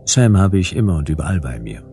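An actor playing a world-weary private detective, narrating a sci-fi radio play in a laconic, ironic, hard-boiled style. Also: male voice, low voice, sing-song, fast talker, vocal fry, dark tone, faint music behind, heavily acted. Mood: wry.

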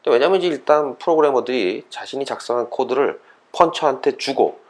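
Man, explanatory talk, lecture style, conversational, lively varied pitch, rhetorical questions. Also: brisk. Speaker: host of an educational talk series for parents and children.

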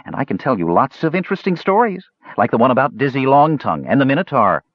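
Man putting on a high, chattering little kender. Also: big pitch swings, quick pace, dry recording, highs cut off. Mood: pleading, chattering. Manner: rambling, eager, talkative. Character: small cheerful chattering kender, pesky and indignant. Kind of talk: audiobook character voice.

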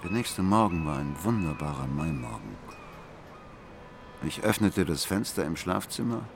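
An actor playing a brooding, obsessive husband who narrates a radio drama with cold, deliberate, intense precision. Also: male voice, a bass voice, exaggerated voice. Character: brooding, obsessive husband coldly recounting his grim plan. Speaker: first-person narrator of an audio drama. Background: music.

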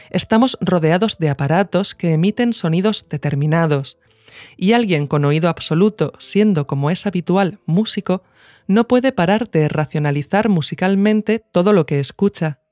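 A woman's voice: lowish voice, moderate pace, a little mellow, no room sound, soft background music. A woman from narrating a scripted documentary podcast as its host.

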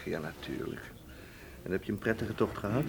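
An actor in a radio drama playing a gentle, solicitous companion with a mildly reproachful manner. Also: male voice, on the low side, a little fast, creaky, background noise. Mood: concerned.

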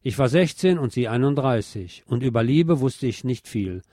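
A man's voice: medium pitch, lively intonation, normal speed, warm tone, no echo, clean studio sound. A man reading scripted narration as a podcast host.

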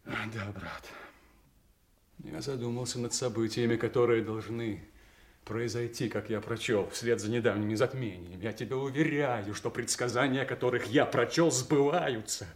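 An actor playing a resentful, scheming young bastard son who feigns concern, in brooding, insinuating stage diction for a radio drama. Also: male voice, medium pitch, moderate pace, lightly acted. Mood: feigned concern. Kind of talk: theatrical radio drama acting.

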